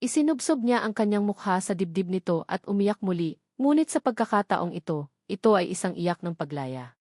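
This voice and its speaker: A woman narrates a romantic drama in a scripted storytelling style. Her voice sits at a middle pitch, and the sound is dry and close, with no room around it. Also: big pitch swings, fairly quick, darkish tone, no echo.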